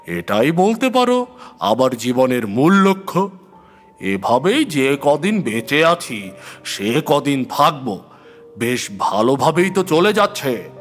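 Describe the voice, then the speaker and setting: A man voicing an older, learned occultist and astrologer in an audio horror story, speaking in a measured, earnest, reflective way. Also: on the high side, a bit bright, in a large hall, exaggerated voice. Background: music.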